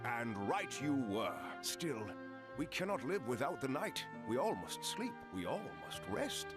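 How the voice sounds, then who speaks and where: A man playing a wise, gentle, philosophical elder in a video game cutscene, speaking in a solemn, measured way. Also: mid-range voice, big pitch swings. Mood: solemn, reflective. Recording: loud music behind the voice.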